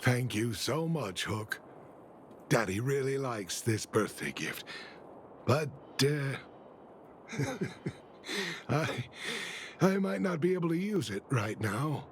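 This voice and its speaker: An actor playing a gentle, doting father in a video game, soft-hearted and a little hesitant. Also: medium pitch, expressive, slow, pauses often, slight background noise. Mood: tender, sheepish. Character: gentle, doting working-class father, tenderly apologetic. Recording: game music faintly behind the voice.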